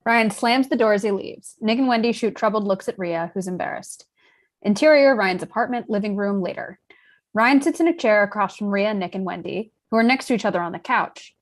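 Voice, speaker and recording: A woman serves as narrator for a script read-through. She reads stage directions in a mid-pitched voice, as scripted narration. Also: a little fast.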